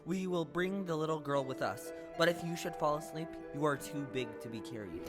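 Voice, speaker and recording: Woman playing an earnest, low-voiced scarecrow. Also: fairly quick, close to an everyday voice. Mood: urgent. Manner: emphatic, earnest, urging. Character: male scarecrow, earnest, friendly, take-charge leader. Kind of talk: stage audio play acting. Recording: live stage recording with music.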